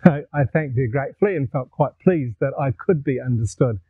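A man in his sixties with a New Zealand accent, telling stories to camera in a relaxed, conversational way on a lapel mic outdoors. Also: medium pitch, brisk, breathy edge, dry recording, phone-quality sound. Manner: relaxed, conversational, gestures while talking. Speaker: vlogger. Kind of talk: casual storytelling to camera. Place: outdoors in a garden.

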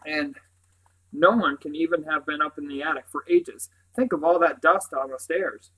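A man voicing a schoolgirl in an audiobook, excitable yet sensible and earnest. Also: on the high side, moderate pace, rough recording. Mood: sceptical. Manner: earnest, babbling when excited. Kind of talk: audiobook character voice.

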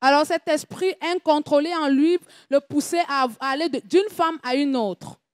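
A woman interprets a church testimony in French, relaying it in a steady, measured way. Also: high voice, no echo, studio quality.

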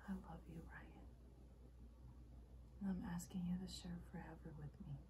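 A woman performing a dramatic monologue as an earnest, ageless young woman making an intimate, halting confession to her lover. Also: lowish voice, steady tone, background noise, natural voice. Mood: hushed, confessional.

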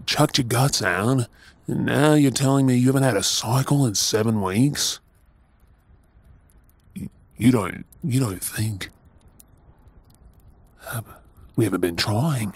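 An Australian man playing a tender, doting young husband in an intimate ASMR audio roleplay, with a soft, breathy voice. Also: medium pitch, exaggerated voice.

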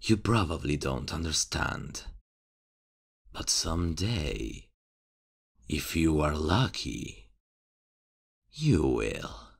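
A man playing a menacing, mocking male serial killer in an ASMR horror roleplay, taunting and chuckling in a hushed voice. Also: extremely low pitch, very animated, extremely slow, gravelly, pauses often, husky, full character voice.

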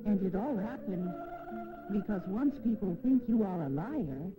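A woman narrating a fable for children as a storyteller, in an expressive, deliberate, scripted style. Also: mid-range voice, music in the background. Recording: noisy old 16mm educational film soundtrack.

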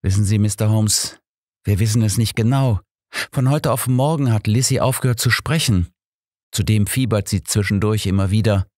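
A man's voice: on the low side, normal speed, darkish tone, no room sound, voice pushed up, close to an everyday voice. Man acting sorrowful businessman, earnest, polite, audiobook character, dry sound. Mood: sad. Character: earnest, sorrowful businessman from abroad, protesting innocence.

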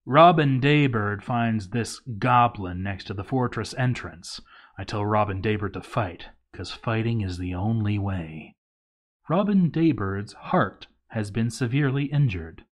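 Slow-paced man reading a game log. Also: on the low side, lively intonation. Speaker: narrator reading a gaming playthrough log. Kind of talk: scripted narration, reading aloud.

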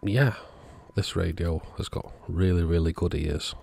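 Casual male repair-video host, very low register, husky with plenty of fry. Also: unhurried, warm tone. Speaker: host of an electronics repair channel. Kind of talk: casual repair walkthrough narration.

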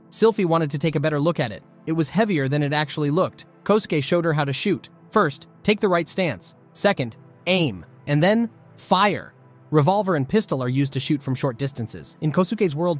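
A man with a somewhat high voice narrates a scripted manga recap. He tells the story in the third person as a recap narrator. Music plays behind his voice. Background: background music.

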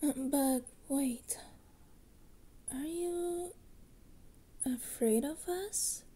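A woman playing a hesitant, wry resurrected witch in an ASMR roleplay, with a soft, breathy voice. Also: high voice, extremely slow. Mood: gentle, curious.

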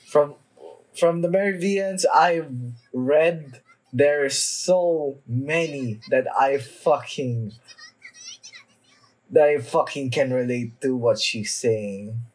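A young man giving casual, off-the-cuff reaction commentary, halting and sweary, often repeating his phrases. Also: mid-range voice, darkish tone, low-quality recording. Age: young adult. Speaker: reaction video host. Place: small room indoors at home.

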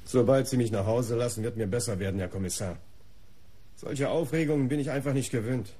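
A man's voice: medium pitch, fairly quick, dark tone, slightly rough, close to an everyday voice. An actor playing a fussy, aggrieved male art dealer, peevish and self-important, in a radio crime play. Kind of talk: German radio play acting.

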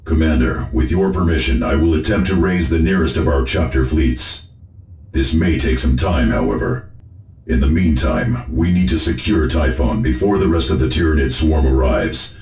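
Game briefing officer: very low, echoing voice, formal, dutiful, measured, over music. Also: male voice, expressive, vocal fry, a little mellow, exaggerated voice. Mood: composed. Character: formal Space Marine officer advising his commander. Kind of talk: video game mission briefing acting.

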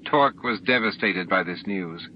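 A man's voice: low-pitched, a little slow. Male audiobook narrator, dull recording. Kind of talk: scripted narration of a novel. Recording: dull, faintly noisy recording.

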